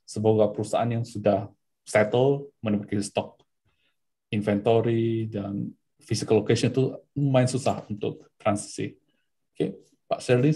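A middle-aged man answering questions as a webinar speaker, explaining in a measured way and mixing in English business terms. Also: on the low side, dark tone, husky. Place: indoor room, on a video call. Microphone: computer mic on video call.

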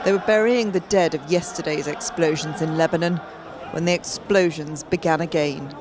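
A woman's voice: sits low, steady tone, normal speed. A woman delivering a scripted television news report as a correspondent. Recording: noisy street crowd and traffic. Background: crowd and vehicles.

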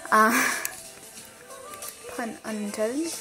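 Slow-talking woman losing her voice. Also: a little high, over music, rough recording. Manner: rambling, many fillers and restarts. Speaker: unboxing vlogger. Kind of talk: casual unboxing chat.

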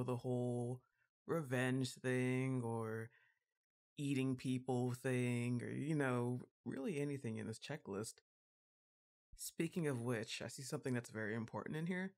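A woman's voice: very deep voice, a little mellow. A woman playing a goth giantess witch girlfriend in an ASMR roleplay, exasperated but fond, with a casual, wry, hesitant delivery.

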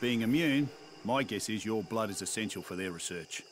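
An actor voicing an earnest, duty-bound army colonel in a video game, measured and insistent. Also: male voice, fairly low pitch, close to an everyday voice. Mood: earnest, pleading. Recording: outdoor insects and background noise.

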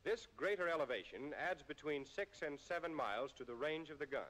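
An American man reading a scripted 1940s military training film narration in a formal, measured way with precise enunciation. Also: a little high, a bit bright. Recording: 1940s film soundtrack, long reverb.

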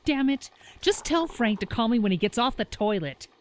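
Impatient, sour woman with lively pitch, acted. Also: normal speed. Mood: frantic, demanding. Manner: demanding, curt, sarcastic. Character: sour, frantic, impatient small-town woman. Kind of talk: audio drama acting.

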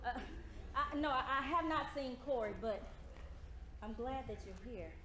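A woman's voice: high voice, moderate pace, bright, forceful tone. An actress playing an earnest, smitten woman in a live stage romantic comedy, speaking in a theatrical, insistent way. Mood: smitten, hesitant. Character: earnest smitten woman, pushy rival in love. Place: theater stage, picked up from a distance. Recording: echoey, very noisy theater with audience.

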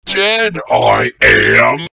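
A man's voice: mid-range voice, very animated, drawn-out words, gravelly, heavy background noise. A man delivering comedic game voice lines in a playful, exaggerated way.

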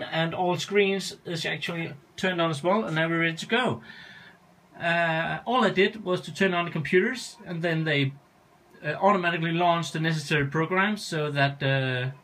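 A man's voice: on the high side, a bit bright. A man casually walking through his home cockpit setup, hesitant and searching for words, recorded indoors on a handheld camera.

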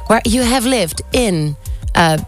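A woman's voice: medium pitch, expressive, slow pace, soft background music. A woman in her thirties with a Dutch accent, a native Dutch speaker, hosting a casual interview close on a studio mic. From Netherlands. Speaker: radio host. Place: small radio studio. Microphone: close studio broadcast mic.

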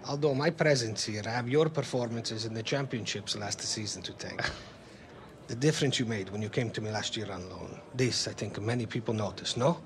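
An actor playing a courteous middle-aged football club official in a game cutscene, formal and careful, with a foreign European accent. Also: male voice, lowish voice, brisk, crowd noise, natural voice. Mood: gracious. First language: not English. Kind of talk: video game cutscene acting.

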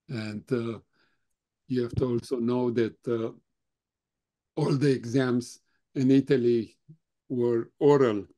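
An older man whose first language is German, slowly answering questions at a remembrance talk with many halting pauses. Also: medium pitch, dark tone. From Europe; grew up speaking German, Russian, Romanian. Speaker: Holocaust survivor guest speaker.

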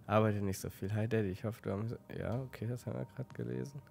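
A man with a slight cold giving relaxed let's play commentary, stumbling now and then while reading game text aloud. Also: lowish voice, fast, vocal fry, slight background noise. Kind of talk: casual gameplay commentary.

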